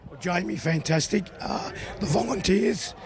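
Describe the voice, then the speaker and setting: A hoarse man, the club president, speaking in short exuberant bursts. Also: sits high, moderate pace. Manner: exuberant, clipped phrases.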